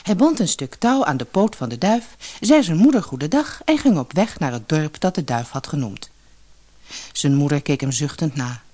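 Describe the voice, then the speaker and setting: A woman reading a fairy tale aloud as an expressive scripted storyteller. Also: low-pitched, moderate pace.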